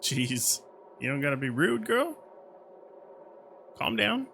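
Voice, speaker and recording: A man's voice: a little high, very animated, takes it slowly, gravelly, pushed and bright, slight background noise. A man playing a video game on a live stream, commenting casually in playful, slangy reactions. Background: game music.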